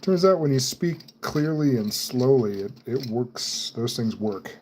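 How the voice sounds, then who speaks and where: Man, mid-pitch, casual livestream chat, laughs often, hands busy, faint background noise. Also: lively intonation, measured pace, darkish tone. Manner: chatty, laughs often, talks while handling parts. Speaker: livestream host building computers. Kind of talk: casual chat while working.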